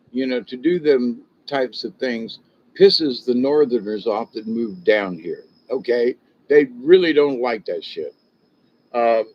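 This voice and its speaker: An older man with a slight Southern drawl hosts a rambling, folksy livestream and keeps drifting from one story to the next. His voice is mid-pitched and ordinary. The audio is dull and lo-fi. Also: darkish tone. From born in Ohio, raised in Florida and South Georgia. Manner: folksy and digressive, chuckling at his own jokes, mimics others in a high voice. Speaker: livestream host chatting with viewers. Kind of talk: rambling casual chat.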